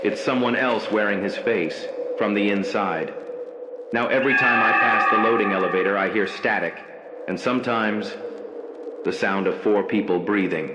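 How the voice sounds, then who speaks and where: A man with a fairly low voice narrates a scripted horror tale in a slow, measured, ominous way. His voice rings in a roomy, echoing space. A steady wash of background noise sits under every line. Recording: echoey room with background noise.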